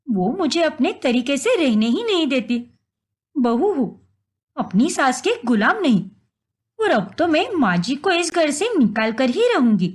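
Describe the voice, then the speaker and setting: A scheming young daughter-in-law from a family storybook tale, played by a woman. Her high voice is very animated. She whines and complains with deceitful, dramatic flair. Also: measured pace.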